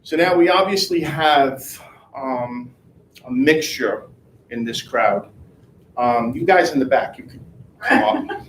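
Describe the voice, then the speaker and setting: Male meeting leader, brisk and casual, roomy room with faint noise. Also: a little high, lively intonation. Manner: reads rankings out in a brisk list, then chats casually. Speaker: sales team leader. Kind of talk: meeting in front of a group.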